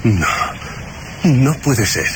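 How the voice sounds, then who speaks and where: An actor playing an obsessed, greedy grail seeker in a suspense radio drama, speaking haltingly in awed disbelief. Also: male voice, medium pitch, big pitch swings, a little hiss or hum. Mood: stunned disbelief. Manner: halting, repeating words in disbelief.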